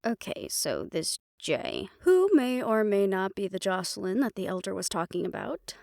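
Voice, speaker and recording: A woman's voice: mid-range voice, very animated, normal speed, gravelly, darkish tone, no echo. A woman voicing a skeptical, cool-headed female companion with a dry, questioning manner in a scripted fantasy audio roleplay. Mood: probing.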